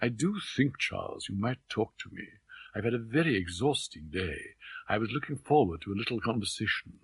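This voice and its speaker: A man voicing an eccentric elderly father in an audiobook, mild, plaintive and gently mocking, with British Received Pronunciation. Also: lowish voice, sing-song, brisk, breathy edge, dry recording, full character voice.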